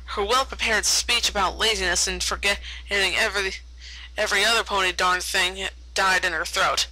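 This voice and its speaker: Very bright-voiced woman reading a story. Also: mid-range voice, steady tone, normal speed, dry recording, poor audio. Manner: stumbles over words and repeats them. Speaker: fan fiction reader. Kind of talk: read-aloud story narration.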